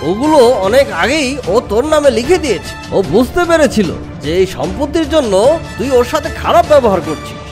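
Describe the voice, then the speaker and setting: A woman's voice: on the high side, big pitch swings, brisk, music in the background. A woman voicing a stern, moralising fox father in a children's cartoon, with emphatic delivery. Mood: outraged, scolding.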